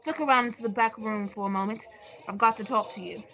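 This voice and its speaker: A woman's voice: mid-range voice, steady tone, fast, fairly bright tone, close to an everyday voice. A woman playing a fretful, theatrical male sidekick in an old-time radio drama, fussy and exclamatory. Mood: anxious, indignant. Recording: dull lo-fi audio.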